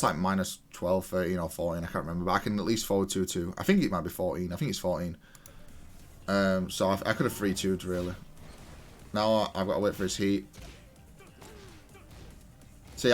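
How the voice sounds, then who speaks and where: Guy with a lowish voice talking thru a fighting game match, casual and matter of fact. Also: fast talker, faint music behind. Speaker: gaming content creator. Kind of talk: casual match analysis commentary.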